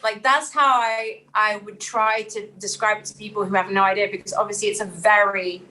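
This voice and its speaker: A woman's voice: medium pitch, fairly even intonation, a little fast, pushed and bright, a little hiss or hum, low-quality recording. A woman, speaking earnestly and reflectively as a podcast guest and repeating phrases for emphasis. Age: 30s. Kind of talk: candid personal interview.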